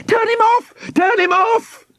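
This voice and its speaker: A man voicing a plucky, excitable little girl in a storybook, yelling with urgency. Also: very high-pitched, slow, gravelly, dry recording, clean studio sound, voice pushed up, full character voice. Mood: alarmed.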